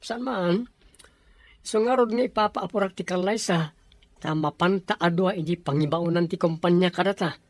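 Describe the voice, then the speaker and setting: A man acting a devoted young boyfriend in a radio drama, relaxed and teasing. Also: sits high, moderate pace, no room sound. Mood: easygoing.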